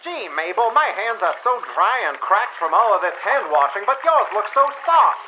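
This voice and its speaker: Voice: medium pitch, sing-song, normal speed, noisy background, lightly acted. Swooping gee-whiz radio-ad fellow played by an actor. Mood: earnest, impressed. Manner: corny, wide-eyed earnestness. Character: gee-whiz 1940s radio-ad husband, earnest and easily impressed. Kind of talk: old-time radio advertisement acting. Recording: dull vintage-radio sound.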